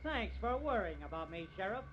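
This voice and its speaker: A man's voice: sits high, very animated, a little fast. An actor playing a bitter, reckless cornered man, sardonic and defiant, in 1950s radio drama style. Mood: defiant, mocking. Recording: distant, dull, noisy old radio recording.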